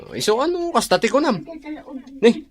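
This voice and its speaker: A man's voice: very high voice, very animated, moderate pace. A man acting a chatty co-worker with an Ilocano accent in a radio drama. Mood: animated.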